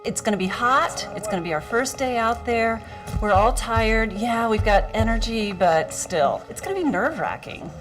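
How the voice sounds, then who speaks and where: A woman's voice: fairly high pitch, animated, normal speed. A woman from the United States, a rider on the tour, speaking candidly to camera. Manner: candid, matter-of-fact. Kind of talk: interview to camera. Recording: loud music under voice.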